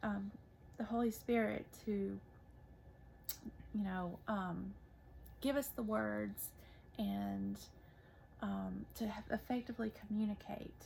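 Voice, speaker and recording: Woman, 30s, soft and halting, gravelly fry, faint hiss behind. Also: medium pitch, steady tone, low-quality recording. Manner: gentle, halting, many restarts. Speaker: podcast co-host, mother. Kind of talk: casual faith conversation.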